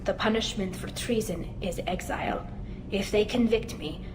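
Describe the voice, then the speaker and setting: A woman's voice: medium pitch, normal speed, background noise. A woman playing an earnest, anxious and loyal young alien engineer in a video game, speaking through a helmet filter. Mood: worried, sincere. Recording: roomy game audio with music behind.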